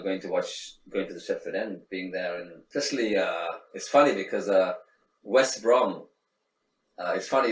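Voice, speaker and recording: A middle-aged man from Sri Lanka, talking in a reflective, casual interview with many restarts and fillers. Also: low-pitched. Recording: echoey room, faint background noise, mic at a distance.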